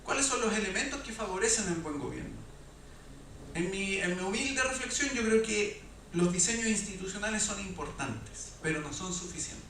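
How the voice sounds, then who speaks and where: A man, speaking as a panelist in a measured, reflective way. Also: high-pitched, expressive, a bit bright. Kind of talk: panel discussion talk. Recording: echoey hall, distant mic, faint noise.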